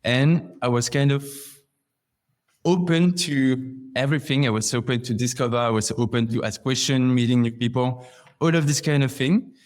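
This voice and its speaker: A man from Belgium speaks at a medium pitch as a podcast guest. He gives his interview answers in a conversational way. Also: moderate pace, darkish tone. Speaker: podcast guest, customer success manager.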